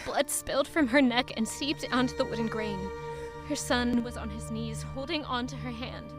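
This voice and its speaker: A woman's voice: on the high side, steady tone, normal speed, over loud music, close to an everyday voice. An actress playing a guarded young woman, anxious and grieving, in an audio drama. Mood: shaken, grave. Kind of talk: audio drama acting and first-person narration.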